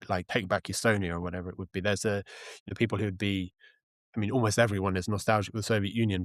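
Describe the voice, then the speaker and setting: A man speaking as an interview guest, explaining things in a thoughtful, halting, conversational way. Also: on the low side, no room sound, studio quality. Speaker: interview guest, journalist and author.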